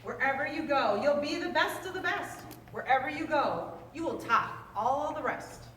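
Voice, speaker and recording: A woman reading a children's rhyming book aloud in a lively, sing-song way. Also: high voice, moderate pace. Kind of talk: read-aloud storytelling. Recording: roomy, distant mic, faint noise.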